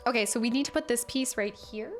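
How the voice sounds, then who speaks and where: Female streamer, slightly high voice with a touch of congestion, chatty and informal, replying to viewers over background music. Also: quick pace. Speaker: livestream host. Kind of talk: casual live stream chat while building.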